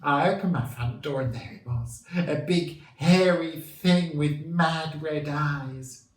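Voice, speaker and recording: A man's voice: fairly high pitch, slow, roomy sound, distant mic, pitched above the performer's own voice. A man voicing a worried middle-aged woman, an emphatic and dramatic eyewitness in a children's story. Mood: shaken.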